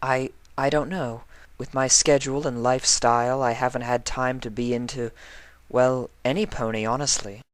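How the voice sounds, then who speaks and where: A performer voicing an emotional young female pony, hurt and stammering, in a breathy, whispery falsetto. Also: steady tone, moderate pace, dry recording, close to an everyday voice. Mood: shy, hopeful. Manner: stammering, pleading.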